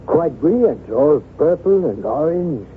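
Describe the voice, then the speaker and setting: A courtly, cautious gentleman friend in an old radio drama. He speaks slowly and politely, with careful hesitation. The recording is thin, with a clear hiss. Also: on the high side, sing-song, phone-quality sound. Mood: uneasy, probing. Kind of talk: 1970s radio drama acting.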